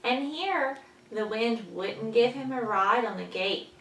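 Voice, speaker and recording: A woman teaching young children, patiently explaining in simple words and pausing after questions, as in a story lesson. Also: fairly high pitch, fairly bright tone. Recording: echoey room, distant mic, faint noise.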